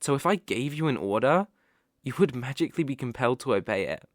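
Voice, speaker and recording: A British man playing a sly, possessive young male kitsune fox spirit in an ASMR roleplay, soft-spoken and coaxing with playful hesitations. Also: mid-range voice, expressive, fast, no echo, clean studio sound, lightly acted. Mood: coaxing, explanatory. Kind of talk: ASMR roleplay, acted directly to the listener. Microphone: close microphone, dry.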